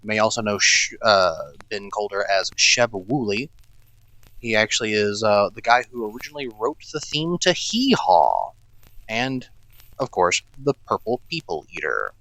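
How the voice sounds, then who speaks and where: Bright-voiced, low-pitched male radio host. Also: very animated, normal speed, no echo, poor audio. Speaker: radio horror show host. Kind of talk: chatty music radio presenting.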